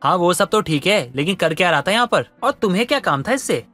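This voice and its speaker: Man as a frustrated young husband, bright forceful voice, complaining, cartoon family drama, music behind. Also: sits high, animated, fast, full character voice. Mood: irritated. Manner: pleading, complaining. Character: exasperated, embarrassed young husband. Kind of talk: animated family drama dialogue.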